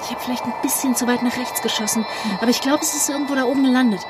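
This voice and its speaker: A woman's voice: high voice, fairly even intonation, racing, very noisy, natural voice. A young woman playing a resolute climate activist who takes charge in colloquial, decisive speech in a naturalistic fiction podcast. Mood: focused, urgent. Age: young adult. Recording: music behind the voice.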